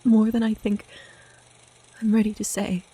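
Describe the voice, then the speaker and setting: A woman playing a frightened, protective young seer with a breathy, often whispering voice in an audio drama. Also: medium pitch, level tone, unhurried, dark tone. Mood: tender, resolute. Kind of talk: intense audio drama dialogue.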